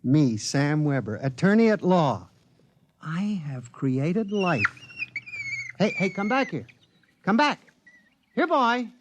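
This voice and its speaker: An actor playing a meek, lovestruck young lawyer in a 1950s radio comedy drama, hesitant and sheepish. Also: male voice, high-pitched, sing-song, slow pace, pauses often, a little hiss or hum, exaggerated voice. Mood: proud, coaxing. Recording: muffled 1950s radio recording.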